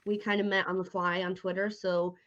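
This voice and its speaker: A woman's voice: fairly low pitch, little pitch movement, brisk, a bit bright, lo-fi sound. A woman with an American accent interviewing a guest in a casual, conversational way. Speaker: podcast interviewer, host.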